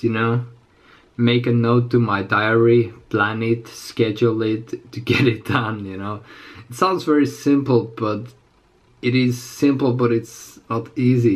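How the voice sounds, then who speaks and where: A man in his twenties with a slightly raspy, under-the-weather voice, chatting casually to camera as a fitness vlogger in a halting, rambling way. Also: medium pitch. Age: 20s. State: slightly sick. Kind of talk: casual unscripted chat to camera. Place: small indoor room with plain walls.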